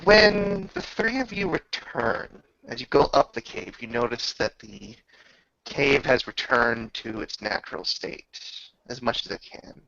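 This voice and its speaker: A man acting as game master, telling a tabletop roleplaying story in a measured, grandiose manner with drawn-out pauses. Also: medium pitch, lively intonation, gravelly, pushed and bright. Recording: slightly dull, roomy home recording.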